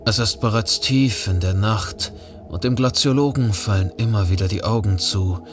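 Casting: male narrator, lower register, scripted audio thriller, music clearly behind. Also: moderate pace.

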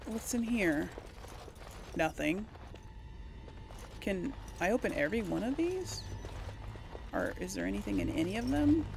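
A woman giving casual let's play commentary and reading game text aloud, with a creaky voice. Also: medium pitch, big pitch swings, unhurried, long pauses, darkish tone, slight background noise. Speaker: gaming host. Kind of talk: reads game text and dialogue choices aloud.

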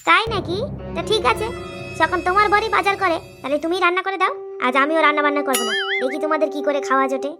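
A woman voicing an angry, sharp-tongued daughter-in-law in an amateur comedy cartoon, scolding and combative. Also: very high voice, loud music behind the voice. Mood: stubborn, spiteful. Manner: scolding, combative, rapid-fire retorts.

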